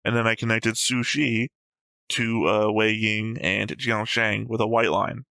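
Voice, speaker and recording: A man's voice: fairly low pitch, level tone, a little slow, gravelly, sharp tone, clean tone, no room sound. A man co-hosting an anime reaction show, talking through episode notes casually and bluntly, with some swearing.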